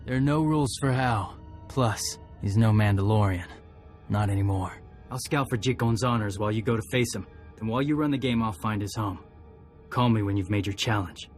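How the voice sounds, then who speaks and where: An actor playing a serious, honor-bound tracker in a video game cutscene, talking in clipped, matter-of-fact phrases. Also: male voice, lowish voice, a little fast, soft background music, natural voice. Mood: grave, explaining. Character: terse, serious male Mandalorian tracker seeking honor.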